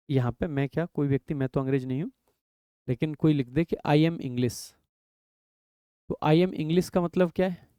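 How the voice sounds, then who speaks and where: A young adult man teaching an English grammar lesson in Hindi for exam preparation. He explains step by step, poses students' questions and answers them himself, and reads rules out slowly. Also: on the high side, mellow, dry recording, clean studio sound.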